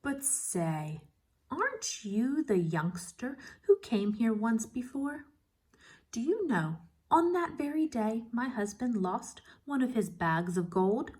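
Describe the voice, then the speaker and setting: A woman's voice: on the high side, very animated, slow pace, a little mellow, pitched above the performer's own voice. A woman voicing a brusque, suspicious, great tall wife of a giant in a storybook. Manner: brusque, questioning.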